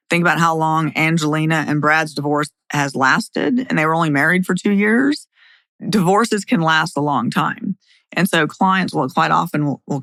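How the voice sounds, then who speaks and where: Middle aged woman podcast host, blunt lawyer type, gravelly fry in her voice, dry close sound. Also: deep voice, a bit bright, dry recording. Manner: blunt, plain-spoken. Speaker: podcast host and divorce attorney. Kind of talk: scripted narration and opinionated podcast talk.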